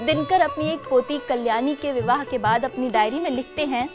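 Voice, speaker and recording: A woman's voice: sits high, moderate pace. A woman giving polished, scripted documentary narration in a storytelling manner. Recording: clear sitar music under narration.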